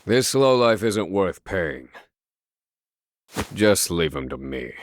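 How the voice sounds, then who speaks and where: A grave, thoughtful game character with a deep voice. He speaks slowly and formally, weighing his phrases with a calm, menacing edge. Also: slight background noise, full character voice. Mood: cold, threatening. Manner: measured, formal, deliberate. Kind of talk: dramatic video game voice acting.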